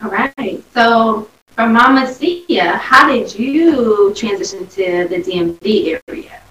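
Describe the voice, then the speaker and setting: A woman's voice: a little high, expressive, slow pace, a bit bright, muffled recording. A woman co-hosting a podcast in a casual, informal chat style. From US city. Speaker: podcast co-host. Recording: roomy echo, distant mic, faint noise.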